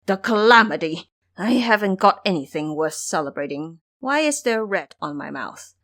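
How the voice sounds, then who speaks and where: A woman's voice: lowish voice, expressive, moderate pace, fairly bright tone. A woman voicing a lazy, self-pitying pig-man fool in an audio drama, grumbling and exclaiming indignantly. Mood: panicked. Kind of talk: audio drama character acting.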